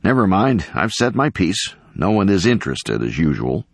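An indignant old professor, acted out by a male narrator, declaims with pompous emphasis. His pitch leaps up and down as he rants like a storybook crank. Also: big pitch swings, moderate pace, vocal fry, no room sound, clean studio sound, full character voice. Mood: weary, peevish. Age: older. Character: ranting, unkempt, indignant elderly male professor.

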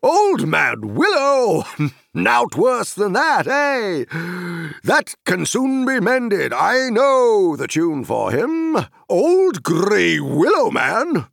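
A man's voice: high-pitched, huge pitch swings, drawn-out words, gravelly, a bit bright, dry recording, studio quality, voice pushed up, heavily acted. A man voicing a boisterous, jolly old forest dweller who sings and bellows in a rustic sing-song dialect. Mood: booming jolly. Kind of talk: audiobook character voice.

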